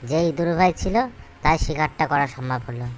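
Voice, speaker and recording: A woman's voice: low voice, a little fast, slight background noise, lightly acted. A woman voicing a sly, scheming fox in a cartoon fable, talking in a persuasive, confiding way. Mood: feigned concern. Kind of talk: cartoon animal fable acting.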